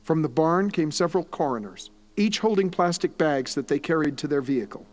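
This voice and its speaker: A man's voice: a little high, lively intonation, fairly quick, darkish tone. A man reading scripted narration for an audio drama, as its narrator.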